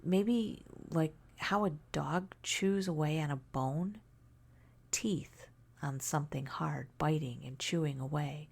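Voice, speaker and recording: A woman softly narrating a scary story in ASMR style, hushed and gentle, often slipping into a whisper. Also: low-pitched, slow, vocal fry, slightly rough.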